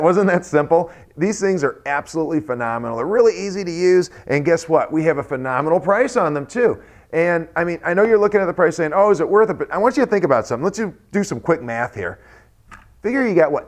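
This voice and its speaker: A male sales presenter with a slightly high voice pitches in a conversational way. He is chatty and enthusiastic, persuading with rhetorical questions.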